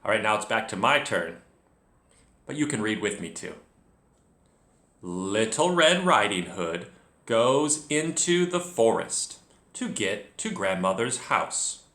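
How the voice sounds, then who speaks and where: A man leading a children's read-along lesson, speaking directly to the learner and narrating clearly as a storyteller. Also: fairly low pitch, slow, pauses often. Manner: expressive, addresses the learner directly. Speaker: children's reading teacher, storyteller. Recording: echoey room.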